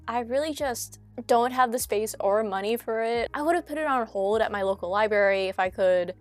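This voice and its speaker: Young canadian woman kinda nasal from being sick, chatty commentary w/ little self-roasting asides, quiet music under it. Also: on the high side. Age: young adult. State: nasal from a cold. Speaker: commentary YouTuber. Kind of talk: casual scripted commentary.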